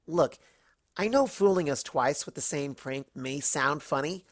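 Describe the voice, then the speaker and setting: A woman's voice: sits low, animated, a little slow, heavily acted. A woman voicing a stern young female cartoon pony, exasperated and scolding.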